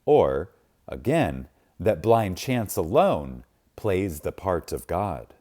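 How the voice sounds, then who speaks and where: Slow, solemn man with a mellow, low voice. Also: sing-song. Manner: solemn, deliberate, with long pauses and emphasis. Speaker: audiobook reader. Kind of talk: reverent, dramatic reading of spiritual text.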